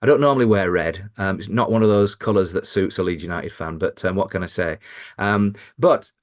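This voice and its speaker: A man's voice: low voice, fairly quick. A man co-hosting a podcast, who asks questions in a joking, rambling way full of restarts. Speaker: podcast co-host. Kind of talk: casual interview chat.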